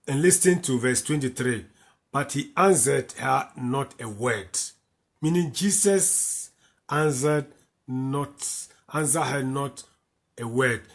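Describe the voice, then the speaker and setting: Slow-speaking man teaching. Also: mid-range voice. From West Africa. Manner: reads scripture aloud, then explains it, repeating key lines. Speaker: Bible teacher. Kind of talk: slow, repetitive Bible commentary.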